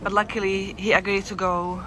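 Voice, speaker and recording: A woman's voice: mid-range voice, flat delivery, moderate pace. A woman vlogging while walking through an outdoor city market, chatting casually, rambling and correcting herself, often laughing. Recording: outdoor street with traffic noise. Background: traffic and street bustle.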